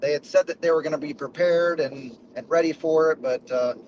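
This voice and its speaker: A man guest with a hoarse voice, recapping a game in plain talk. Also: fairly high pitch, a little fast, a little mellow, highs cut off, a little hiss or hum. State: hoarse from screaming.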